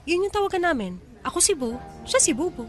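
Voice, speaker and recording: A woman's voice: extremely high pitch, sing-song, fairly quick, faint music behind. A woman playing a meek, earnest young provincial mistress and single mother in a Filipino radio drama. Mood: meek, defensive.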